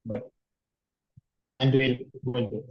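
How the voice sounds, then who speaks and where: A young man from India, a medical student presenting a case in an earnest, measured way over a video call. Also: medium pitch, steady tone, highs cut off.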